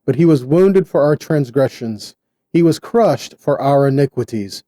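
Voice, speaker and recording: A man giving sermon-style Bible teaching in short, deliberate phrases with an earnest, exhorting manner. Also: mid-range voice, warm tone, no room sound.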